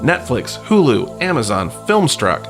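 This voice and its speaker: Male podcast host, lively pitch, over music. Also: unhurried. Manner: wry, self-deprecating, informal with mild swearing. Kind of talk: casual podcast talk with read-out news items.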